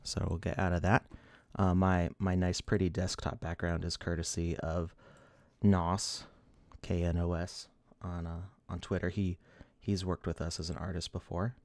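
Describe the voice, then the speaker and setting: A man's voice: sits low, level tone, moderate pace, darkish tone, no room sound. A man in his thirties hosting a casual livestream, rambling, laughing often and a little sniffly. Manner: rambling, laughs often, restarts, reads chat. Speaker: livestream host.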